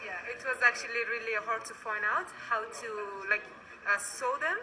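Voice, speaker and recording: A woman's voice: fairly high pitch, moderate pace, a crowd in the background, rough recording. A young woman answering interview questions casually, filling her speech with "like" and "uh". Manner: casual, lots of "like".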